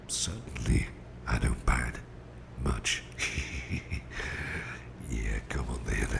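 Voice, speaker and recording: A man playing a gruff but tender, protective boss in an ASMR roleplay, murmuring soothingly in a gravelly, breathy half-whisper. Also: rumbling low, background noise, full character voice. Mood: tender, reassuring. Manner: soothing, unhurried, folksy, murmured. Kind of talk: ASMR roleplay, intimate personal attention.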